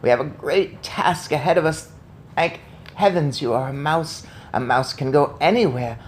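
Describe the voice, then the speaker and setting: A man voicing a loving, proud and crafty old Norwegian grandmother in a storybook, with measured, emphatic delivery. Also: a little high, a little hiss or hum, natural voice. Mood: eager.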